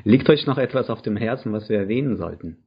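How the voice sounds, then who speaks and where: A man hosting a podcast with a husky voice, asking brief, friendly interview questions. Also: lively intonation, quick pace. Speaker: podcast host. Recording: dull low-fi sound.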